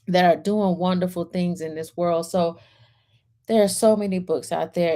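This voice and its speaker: A woman hosting a storytime as a reverend, speaking in measured short phrases as she welcomes, guides and reads aloud. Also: mid-range voice, dark tone. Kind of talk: gentle guided centering and picture book read-aloud.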